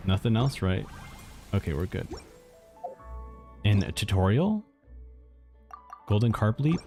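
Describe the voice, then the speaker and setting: Animated male game streamer. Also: lowish voice, slow. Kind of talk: casual live reaction commentary over gameplay. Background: game music.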